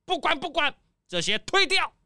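Vain bossy crocodile guy for a kids audio drama, whiny and swooping, bright voice. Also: sits high, very animated, no room sound, studio quality, full character voice. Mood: disgusted, fussy. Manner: imperious, whiny, drawn-out words, drops English exclamations. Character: fussy, spoiled, vain crocodile farm owner, male. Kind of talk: children's audio drama acting.

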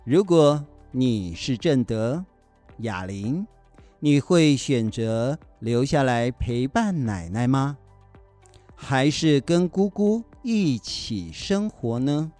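A man's voice: medium pitch, animated, takes it slowly, a little mellow, faint music behind. A man presenting the close of a children's radio story, putting scripted questions to young listeners. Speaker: presenter giving the closing message.

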